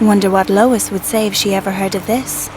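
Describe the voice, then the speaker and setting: A woman reads poetry at an ordinary pitch, measured and deadpan. Music plays clearly behind her voice. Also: rough recording. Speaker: poetry reader. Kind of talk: scripted poetry reading.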